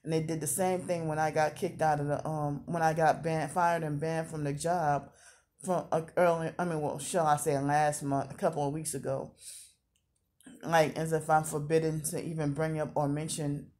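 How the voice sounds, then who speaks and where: A woman's voice: sits low, level tone, normal speed, darkish tone. A woman in her thirties talking casually in a confessional vlog, rambling and repeating herself. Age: 30s. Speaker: vlogger.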